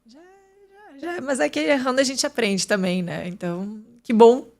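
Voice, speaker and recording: A woman's voice: fairly high pitch, lively intonation, moderate pace, vocal fry, a little mellow. Candid chatty woman in her thirties. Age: 30s. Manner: candid, chatty, with many fillers and restarts. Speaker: podcast guest. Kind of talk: casual interview chat.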